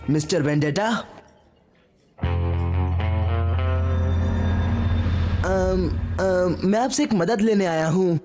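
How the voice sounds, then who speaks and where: A man's voice: sits high, moderate pace, close to an everyday voice. An actor voicing a worried, earnest boy hero in a Hindi cartoon dub. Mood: uneasy. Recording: loud music under the voice.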